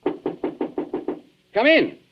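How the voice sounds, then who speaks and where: An actor playing a smooth, mocking blackmailer with theatrical politeness in a 1940s radio thriller. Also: male voice, drawn-out words, over music. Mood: smug. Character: suave, sneering male blackmailer posing as antique dealer. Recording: muffled 1940s radio recording.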